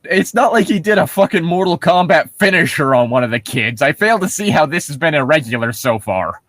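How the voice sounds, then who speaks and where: A man's voice: fairly high pitch, lively intonation, fairly quick, fairly bright tone, dry recording. A man co-hosting a comedy read-aloud, talking casually with sarcastic, sweary commentary. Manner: sarcastic, foul-mouthed. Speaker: podcast co-host reading horror fiction aloud.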